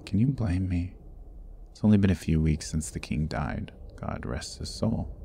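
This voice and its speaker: A man's voice: deep voice, measured pace, gravelly, dark tone, slight background noise. A man playing a sardonic, roguish jailed thief in a fantasy ASMR roleplay, with a wry, teasing delivery that often drops toward a murmur. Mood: weary, earnest. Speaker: audio roleplay voice actor.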